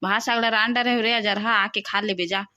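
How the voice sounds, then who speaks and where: Woman as scolding cartoon village aunt, Chhattisgarhi accent, piercing forceful tone, dry close sound. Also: mid-range voice, steady tone, a little fast, no room sound, close to an everyday voice. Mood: exasperated. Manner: scolding, complaining.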